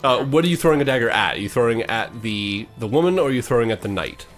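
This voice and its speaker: A man hosting as game master, narrating a tabletop fantasy game in a halting, descriptive way. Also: medium pitch, expressive, soft background music. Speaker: game master, podcast host.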